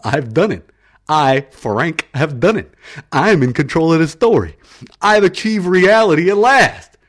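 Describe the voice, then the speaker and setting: A man voicing a gloating, scheming male character who boasts loudly and emphatically, in a read-aloud story. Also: medium pitch, very animated, fairly quick.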